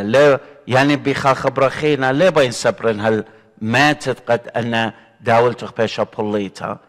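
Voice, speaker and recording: A middle-aged man with an Assyrian accent, a deacon teaching scripture in an earnest, expressive way from a desk in an indoor studio. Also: medium pitch, in a large hall. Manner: earnest, gesturing, expressive preaching. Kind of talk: bible study teaching. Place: indoor studio before a painted church backdrop.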